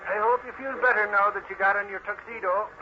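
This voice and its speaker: Eager, folksy newshound, fairly high male voice, vintage radio serial with heavy hiss. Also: fairly even intonation, fast talker. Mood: chatty. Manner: folksy, slangy. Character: brash, eager, slangy male newspaper reporter. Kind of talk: 1940s radio drama acting. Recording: noisy, dull old-time radio recording.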